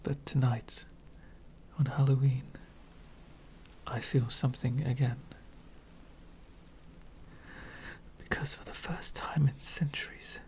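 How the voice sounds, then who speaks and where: A man playing a lonely, courteous, centuries-old vampire in an ASMR roleplay, speaking formally and wistfully in soft, breathy whispers. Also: medium pitch, level tone, close to an everyday voice. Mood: lonely, tender. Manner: formal, wistful, slow and deliberate.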